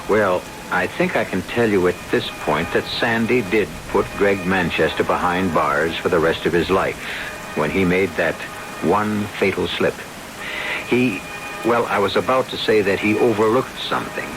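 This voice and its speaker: An actor playing a suave, ominous mystery host, narrating old-time radio with deliberate, theatrical pauses. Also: male voice, sits low, low-quality recording.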